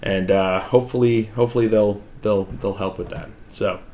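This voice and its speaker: A man hosts his own hobby channel in a low, slightly raspy voice. He talks casually and loosely, trailing off and restarting often. He speaks into a desk microphone in a room at home. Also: fairly even intonation, moderate pace, dark tone. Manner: rambling, with many fillers and restarts. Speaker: channel host. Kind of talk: casual hobby vlog talk while painting. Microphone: new desk microphone.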